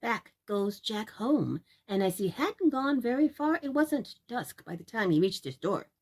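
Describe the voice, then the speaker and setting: Slow, husky, expressive woman storyteller. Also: on the high side. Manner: theatrical, deliberate, addressing young listeners. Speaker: children's storyteller. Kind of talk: expressive read-aloud storytelling.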